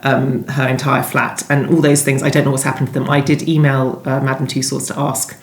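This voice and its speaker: A woman's voice: extremely low pitch, flat delivery, brisk. A woman giving conversational, discursive interview answers as a guest author, often rephrasing as she goes. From London, England. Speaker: guest novelist being interviewed. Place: indoors at home. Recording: echoey room.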